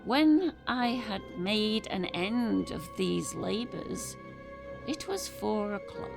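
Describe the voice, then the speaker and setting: A woman giving a theatrical, deliberate dramatic reading of a gothic horror story, stretching phrases for emphasis. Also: mid-range voice, vocal fry. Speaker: amateur storyteller, reader. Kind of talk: dramatic literary narration of a gothic horror tale. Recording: music behind the voice. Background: music.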